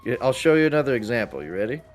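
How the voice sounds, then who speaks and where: A man's voice: mid-range voice, very animated, racing. A talkative man chatting casually as he plays a video game, explaining things at length and swearing freely. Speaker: gamer in a role-play server. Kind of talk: casual chat while gaming.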